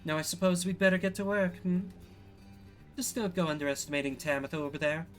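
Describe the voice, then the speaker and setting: A man's voice: fairly high pitch, fairly even intonation, quick pace, faint music behind, voice pushed up, close to an everyday voice. A man voicing a sly, flirtatious winged harpy woman from a game, with a teasing manner. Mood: confident. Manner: teasing, saying darling.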